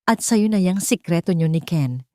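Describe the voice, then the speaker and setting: A woman's voice: lowish voice, animated, normal speed, darkish tone, dry recording, studio quality, natural voice. A woman voicing a hurt young working woman in a dramatic audio love story, firm and impassioned. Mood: defiant.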